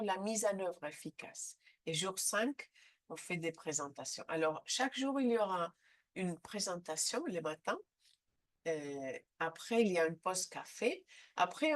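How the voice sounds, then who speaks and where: A woman with a head cold leads a live online training session, speaking haltingly with frequent filler sounds. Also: mid-range voice. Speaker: seminar trainer.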